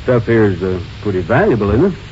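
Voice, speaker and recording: An actor playing an easygoing small-town sheriff with an offhand, musing manner in a 1940s radio drama. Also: male voice, fairly low pitch, big pitch swings. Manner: offhand, hesitant, drawn-out musing. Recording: muffled, hissy old radio recording.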